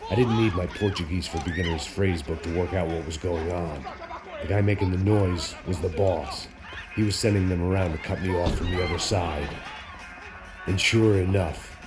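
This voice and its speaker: Casting: male actor as bitter, boozy bodyguard for a hard-boiled game, deep gravelly register, dry cynical delivery, music pounding underneath. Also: natural voice. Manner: deadpan, sardonic. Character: world-weary hard-drinking middle-aged male bodyguard, bitterly cynical. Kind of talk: hard-boiled noir game narration and dialogue. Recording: loud music behind the voice. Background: loud music.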